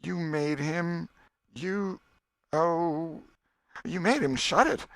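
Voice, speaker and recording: Man doing a high-pitched earnest Southern country boy. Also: drawn-out words, a bit bright, no echo, full character voice. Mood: earnest, halting. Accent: rural American South. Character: mischievous young country boy, earnest crafty storyteller. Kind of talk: audiobook character voice.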